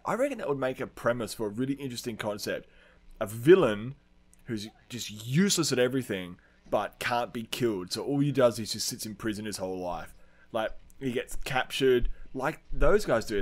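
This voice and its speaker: A man's voice: mid-range voice, very animated, fairly quick. A man co-hosting a podcast in casual comedic chat, spinning absurd theories in earnest and often saying dude. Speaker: podcast co-host. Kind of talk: casual comedic chat, pop-culture debate.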